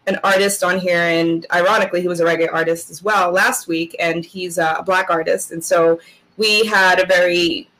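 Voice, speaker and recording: A woman's voice: fairly low pitch, steady tone, moderate pace, a bit bright, low-quality recording. A woman raised in the Netherlands, hosting a podcast in casual interview chat, earnest and full of fillers like "um" and "literally". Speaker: podcast host.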